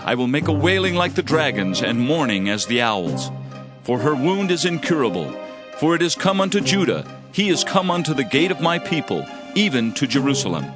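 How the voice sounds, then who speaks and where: A man with a medium-pitched voice gives a dramatized scripture narration. His delivery is solemn and oratorical, with very lively rises and falls, over clear background music. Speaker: audio Bible narrator. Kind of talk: dramatized scripture reading in archaic English. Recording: music behind the voice.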